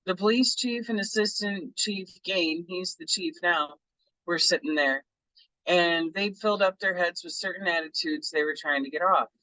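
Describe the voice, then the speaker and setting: A man reading a memoir aloud for a book club, in a relaxed and appreciative way. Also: sits high, normal speed, no echo, poor audio.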